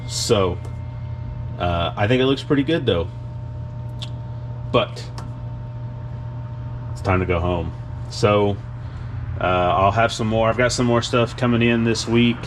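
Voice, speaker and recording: A man's voice: on the low side, slow pace, pauses often, background noise. A laid-back, plain-spoken man talking casually as he shows truck parts for a workshop video update. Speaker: car builder hosting a shop update. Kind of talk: casual vlog talk while showing truck parts. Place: indoor vehicle workshop garage.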